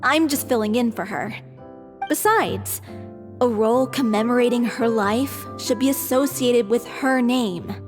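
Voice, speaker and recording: An actress voicing an earnest young stage actress in a theatre troupe, polite and sincere. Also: female voice, on the high side, unhurried, big-room sound, over music, lightly acted. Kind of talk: video game cutscene voice acting.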